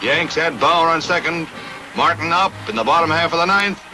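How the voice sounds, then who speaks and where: A man's voice: on the high side, normal speed, low-quality recording. An American man narrating a 1950s sports newsreel from a script in a punchy, dramatic, folksy showman's manner. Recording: vintage newsreel soundtrack with music. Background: loud background music.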